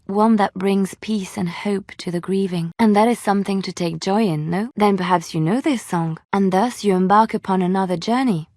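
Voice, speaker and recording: An actress playing a gentle, romantic young woman bard in a fantasy video game, soft-spoken, wistful and a little coy. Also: medium pitch, moderate pace, few pauses, no room sound, clean studio sound, natural voice. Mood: solemn, comforting. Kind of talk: video game character dialogue.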